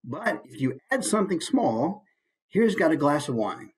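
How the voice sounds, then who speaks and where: A man's voice: a little high, big pitch swings, unhurried. An American man, a voice actor, giving an informal instructional talk and musing aloud through examples.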